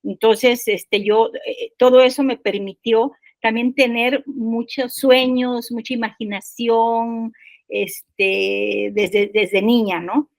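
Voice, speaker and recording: High-voiced older woman. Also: steady tone, slow pace, vocal fry, dry recording. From Guerrero, Mexico. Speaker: podcast guest, poet. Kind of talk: casual personal storytelling.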